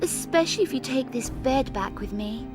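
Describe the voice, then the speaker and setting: A woman's voice: sits high, expressive, moderate pace. An actress playing a vain, flirtatious and greedy noblewoman in a video game, with a sultry, theatrical drawl. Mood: seductive. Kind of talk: video game character acting. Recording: game music behind.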